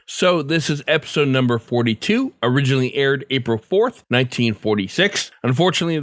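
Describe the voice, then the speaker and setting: A man's voice: medium pitch, fairly bright tone, no room sound. A man hosting a podcast, reading a scripted introduction into a close microphone. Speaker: podcast host.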